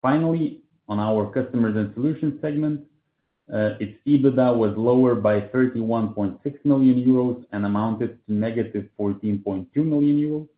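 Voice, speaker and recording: A man giving a formal, scripted company results presentation in a measured way, as an executive on an earnings call. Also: mid-range voice. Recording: tinny phone-like line, roomy echo.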